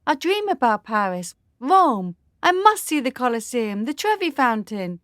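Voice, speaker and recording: A woman's voice: on the high side, sing-song, a little slow, fairly bright tone, no echo, studio quality. A young woman playing a lord's dreamy, pleading daughter in a period radio drama, earnest and formal. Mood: wistful, dismayed.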